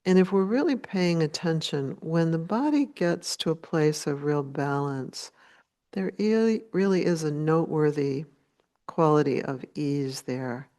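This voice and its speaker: A woman leading a guided meditation as a teacher, speaking in a gentle, unhurried way with long pauses. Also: sits low.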